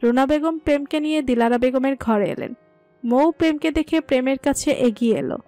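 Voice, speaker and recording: A woman narrating a romantic family story in a calm, even manner. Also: sits high, brisk, no echo. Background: soft music.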